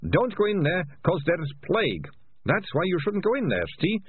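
A craven rat catcher in a storybook. He whines and stammers in a strained high voice that swoops about, husky and thinly recorded. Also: huge pitch swings, normal speed, phone-quality sound, full character voice. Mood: evasive, nervous. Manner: stammering, whining, moaning. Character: panicky, cowardly, whining man, a rat catcher.

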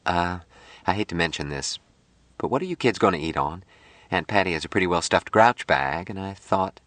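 A motherly, devout middle-aged lady from an audiobook, played by a man, low for a woman and softly spoken. She is earnest and folksy, with a solemn kindness in every phrase. The sound is dry and close. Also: lively intonation, normal speed, dry recording. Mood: hesitant, caring.

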